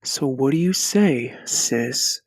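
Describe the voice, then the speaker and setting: An actor playing a coercive villain in an audiobook, quietly persuasive and patronizing, with false care. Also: male voice, mid-range voice, lively intonation, slow pace, no room sound, rough recording. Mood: coaxing menace. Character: male villain, falsely caring, coercive older brother. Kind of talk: audiobook character acting.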